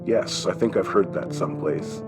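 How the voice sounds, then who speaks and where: A man's voice: deep voice, normal speed, music in the background, natural voice. A man voicing a shy, polite, self-conscious teenage girl in an audiobook story, speaking tentatively.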